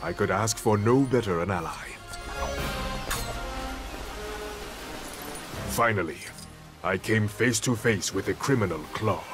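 An actor playing a noble superhero king who narrates his quest in a grave, formal, measured way for a video game. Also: fairly low pitch, natural voice. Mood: determined. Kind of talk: scripted dramatic game narration. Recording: music behind the voice.

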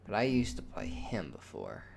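A man's voice: lowish voice, huge pitch swings, moderate pace, vocal fry, whispered. A man hosting a gaming video with casual commentary, swearing and muttering to himself as he plays. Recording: music behind the voice. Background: loud game music.

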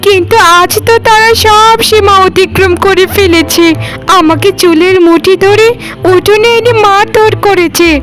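A woman puts on a young orphaned sister-in-law from a cartoon melodrama. The voice is very high and plaintive, full of grievance, playing the helpless victim. Music runs behind it. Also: unhurried, rough recording. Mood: aggrieved, tearful complaint. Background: background music.

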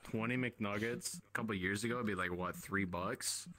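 A man's voice: lowish voice, normal speed, background noise. A man in his twenties chatting casually in virtual reality, laughing and swearing often. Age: 20s. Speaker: VR social chat player. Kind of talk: casual chat. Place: at home playing in virtual reality. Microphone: VR headset mic.

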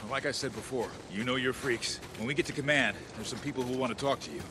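Actor as a firm militia officer, noisy surroundings. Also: male voice, medium pitch, quick pace, natural voice. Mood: guarded, matter-of-fact. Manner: firm, businesslike, authoritative. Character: stern, disciplined male militia officer, guarded and dutiful. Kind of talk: naturalistic video game acting.

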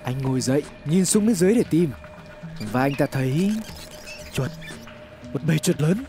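A man's voice: a little high, lively intonation, moderate pace, mellow. A man reads scripted horror story narration in a dramatic, suspenseful storytelling manner. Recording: music behind the voice. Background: background music.

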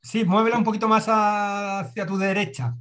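A middle-aged man with a fairly high voice gives kind, rambling critiques of watercolors. He speaks over a video call from a room at home, restarting phrases as he hunts for the right word. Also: little pitch movement, moderate pace, fairly bright tone. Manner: encouraging, hesitant repeats. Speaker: watercolor teacher giving feedback.

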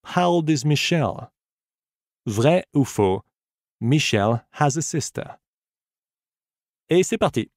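A man's voice: on the high side, expressive, slow pace, pauses often, darkish tone, no echo, studio quality. A man narrating a scripted bilingual audiobook lesson as a teacher, addressing the listener in an encouraging way.

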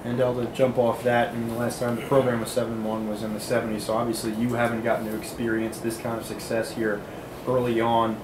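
A man asks detailed, polite questions in a flat voice. The room is echoey, with a clear hum of ambient noise. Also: mid-range voice, brisk. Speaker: reporter. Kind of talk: press conference questions.

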